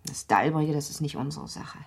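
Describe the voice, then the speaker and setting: Very husky, low-voiced young wife. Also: female voice, moderate pace. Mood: cool, dismissive. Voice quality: husky, breathy. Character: young wife of eighteen, girlish yet guarded. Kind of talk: German radio play acting.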